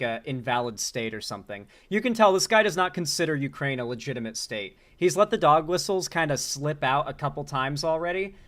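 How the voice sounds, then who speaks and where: A man's voice: mid-range voice, a bit bright. A man hosting a live political commentary stream, talking casually and sarcastically with a lot of "like". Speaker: streamer host.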